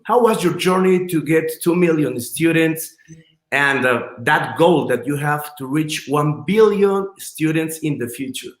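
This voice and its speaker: A man's voice: a little high, a little slow, echoey room. A man hosting an interview, asking eager, rambling questions. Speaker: interviewer host.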